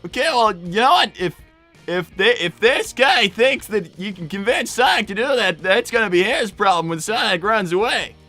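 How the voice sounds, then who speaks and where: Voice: mid-range voice, lively intonation, normal speed, pushed and bright, faint music behind. A performer voicing a young boy fox, an earnest, awkward and exasperated older brother who stammers when flustered, in improvised livestream roleplay. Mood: wary, exasperated.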